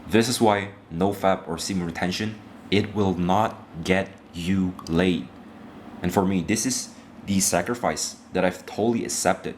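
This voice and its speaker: A young man lectures his viewers in a blunt, profane rant, calling them "bro". His voice sits low, and a faint hiss runs under it. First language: Indonesian. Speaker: self-improvement vlogger.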